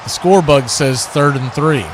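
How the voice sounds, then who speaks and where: A man's voice: fairly high pitch, measured pace, background noise. A man calling live football play-by-play in punchy short phrases with colourful action verbs. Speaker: play-by-play commentator, watch party host. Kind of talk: live American football radio-style commentary.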